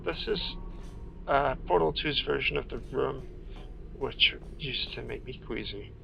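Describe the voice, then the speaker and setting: A man's voice: mid-range voice, unhurried, vocal fry, muffled recording. A man giving casual playthrough commentary on a game, stretching words for emphasis. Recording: loud game music behind voice. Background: game music and sounds.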